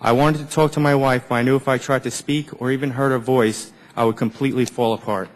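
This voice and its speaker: A man reading a prepared statement in a measured, formal way, as an air traffic controller giving testimony at a hearing. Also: medium pitch, fairly even intonation. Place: large indoor government hearing room. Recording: echoey hearing room with long reverb.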